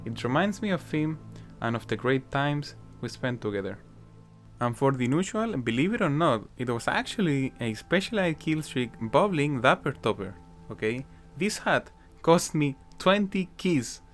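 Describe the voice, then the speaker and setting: A man's voice: mid-range voice, animated, moderate pace. Casual chatty man, improvised commentary. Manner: casual, chatty, frequent "you know" fillers. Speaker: gaming YouTuber. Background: faint guitar music.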